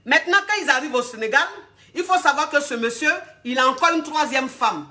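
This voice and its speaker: A woman with a cold tells a long story straight to her viewers, repeating key phrases and checking that they follow. Her voice sits a bit high and sounds bright and forward. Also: brisk. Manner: repetitive, emphatic, addresses viewers directly. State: has a cold. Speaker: video host telling a story.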